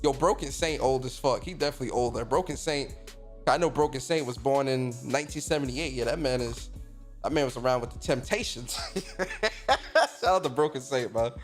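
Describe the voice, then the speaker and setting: A man's voice: mid-range voice, a little fast, faint music behind. Chatty man in his thirties, livestream host. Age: 30s. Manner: loose and swearing, laughs often, shout-outs to chat. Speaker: streamer and podcast host. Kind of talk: casual livestream chat reading viewer comments.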